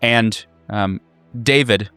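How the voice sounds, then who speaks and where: A man's voice: fairly low pitch, slow, bright, forceful tone, smooth, dry recording, faint music behind. A man improvising narration as a game master, conversational and hesitant as he finds his words.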